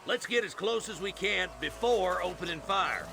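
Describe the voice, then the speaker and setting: An actor playing a gruff older American lawman in a Western video game, barking orders at his posse in a folksy, commanding manner. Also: sits high, lively intonation, normal speed, noisy background. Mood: calm, commanding. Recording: music and gunfire behind the voice.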